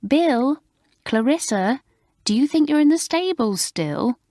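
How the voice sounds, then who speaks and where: A woman voicing an impatient, sharp-tongued teenage schoolgirl with a tart manner. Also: mid-range voice, lively intonation, moderate pace, no echo, studio quality, lightly acted. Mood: exasperated. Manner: tart, exasperated.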